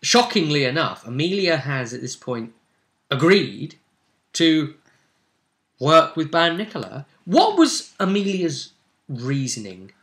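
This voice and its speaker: A man hosting as game master, casually recapping a role-play session in an animated, jokey, theatrical way. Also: fairly high pitch, takes it slowly.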